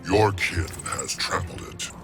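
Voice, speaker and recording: A solemn, age-old monster of root and swamp in a video game. The voice is very deep and gravelly. Each phrase comes out slowly and formally, with music playing behind it. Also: male voice. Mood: grim, defiant. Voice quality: gravelly, rumbling. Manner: slow, grave, formal delivery. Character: ancient, solemn male swamp plant monster guarding nature. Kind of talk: video game character dialogue.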